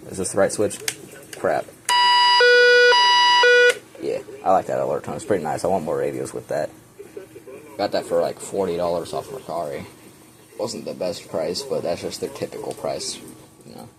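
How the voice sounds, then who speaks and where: Low-voiced young man rambling casually. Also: poor audio. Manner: rambling, offhand, trailing fillers and self-corrections. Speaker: radio collector vlogger. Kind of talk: casual off-the-cuff show-and-tell. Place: small cluttered room full of shelves. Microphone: handheld camera, filming point of view.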